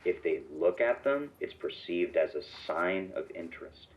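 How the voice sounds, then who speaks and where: A man's voice: low-pitched, expressive, normal speed, lo-fi sound. A man in an anonymous recorded interview, speaking bluntly and conversationally.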